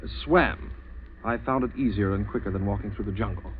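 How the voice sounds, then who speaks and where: A man's voice: on the low side, a little fast, a little hiss or hum, lightly acted. Man as a desperate hunted hero, muffled old radio. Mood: cool defiance. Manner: intense, dramatic first-person telling. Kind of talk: 1940s radio acting.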